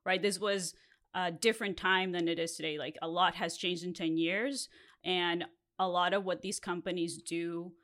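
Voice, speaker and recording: A woman's voice: lowish voice, fairly even intonation, normal speed, fairly bright tone. A woman in her thirties from Mexico, whose first language is Spanish, telling a candid story as a podcast host with laughs between phrases.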